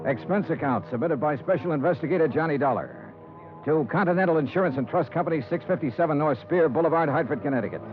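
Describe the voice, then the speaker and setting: A man's voice: fairly high pitch, fast, faint music behind, natural voice. A man acting a hard-boiled, world-weary insurance investigator in a 1950s radio detective drama, wry, clipped and matter-of-fact. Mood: dry narration. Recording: tinny, band-limited 1950s radio recording.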